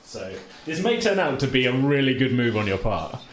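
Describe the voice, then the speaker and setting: A man acting as game master, narrating a tabletop game casually with jokey, colloquial, halting phrasing. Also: medium pitch, big pitch swings, crowd noise. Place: indoor room with group of players. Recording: echoey room, faint background noise.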